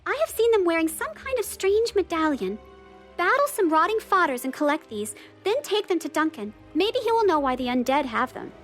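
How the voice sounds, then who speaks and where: A woman's voice: extremely high pitch, very animated, brisk, soft background music. A woman playing an earnest, emotive young student witch in a video game, with a girlish voice. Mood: puzzled. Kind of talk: video game character acting.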